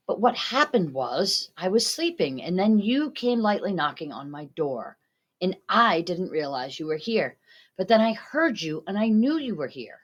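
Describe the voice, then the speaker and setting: A woman narrating a story from a script, reading it aloud. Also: lowish voice. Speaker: student reader.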